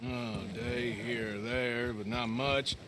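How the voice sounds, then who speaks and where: An actor playing a gruff, dry, bossy American outlaw cowboy in a western video game, speaking in curt commands with a drawl. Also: male voice, medium pitch, fairly even intonation, slow pace, noisy background, close to an everyday voice. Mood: dry, casual.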